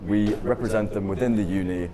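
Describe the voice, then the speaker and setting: Chatty young man with a low voice. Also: a little fast, crowd noise. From United Kingdom. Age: 20s. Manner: upbeat, chatty, lots of "I mean" and "um". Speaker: students' union officer. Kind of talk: casual interview answers.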